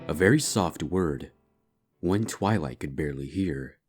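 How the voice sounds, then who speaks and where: Deep-voiced man narrating a dark story. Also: lively intonation, unhurried. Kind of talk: dramatic scripted narration of dark fan fiction. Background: background music.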